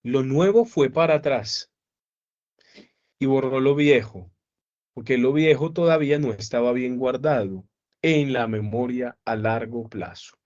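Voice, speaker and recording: A male psychology teacher giving an online class lecture, explaining slowly and often checking in with students. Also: mid-range voice, a little mellow, muffled recording.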